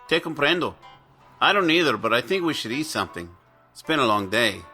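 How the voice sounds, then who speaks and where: An actor playing a down-to-earth farm worker, speaking emphatically in an audio horror drama. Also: male voice, mid-range voice, sing-song, brisk, bright, forceful tone, faint music behind. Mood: concerned. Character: sensible, dismissive Mexican farm worker man, friendly.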